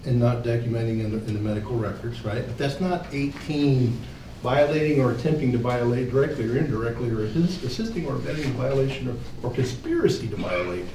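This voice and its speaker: Brief: mid-pitched man, blunt board member, far off in an echoey meeting room with background noise. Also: lively intonation, quick pace, mellow. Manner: blunt, pushes to move on. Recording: roomy, distant meeting-room mic with noise.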